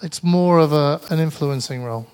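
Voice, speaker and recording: A man with a Mancunian English accent, speaking a little higher than most men. He sounds tired and talks in a loose, conversational way, stumbling and restarting as he fields questions at a conference. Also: normal speed, darkish tone, smooth. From Manchester, England. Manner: hesitant, restarts often, conversational. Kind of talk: presentation with audience Q&A.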